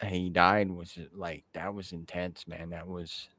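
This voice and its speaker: A man with a low voice in a close, dry recording. He hosts a relaxed fan conversation, speaking informally and often repeating a phrase to underline it. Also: unhurried, no echo. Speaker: podcast host interviewing a guest. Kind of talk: casual fan conversation about novels.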